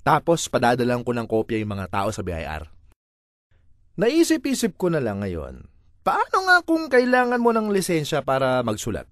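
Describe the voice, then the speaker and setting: A man's voice: mid-range voice, huge pitch swings, normal speed, no echo, studio quality. A man with a Filipino accent reading a first-person comic monologue in a theatrical, exasperated way. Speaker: voice-over reader of a comic monologue.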